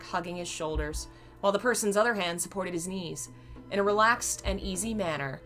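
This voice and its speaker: A hoarse woman reading a novel aloud on her channel, chatty and fond when she talks to viewers. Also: on the low side, a bit bright. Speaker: reader and channel host.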